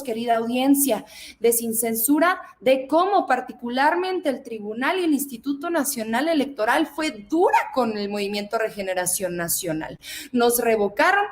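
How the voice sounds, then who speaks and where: A woman's voice: on the high side, a little hiss or hum. A Mexican woman in her twenties, a federal deputy, speaking emphatically in a political interview and addressing the audience. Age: 20s.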